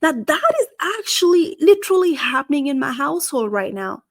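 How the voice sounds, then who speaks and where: A woman's voice: high-pitched, sing-song, a little fast. Earnest woman with a Nepali accent. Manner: earnest, posing questions. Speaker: career strategist and show host. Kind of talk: solo talk to camera.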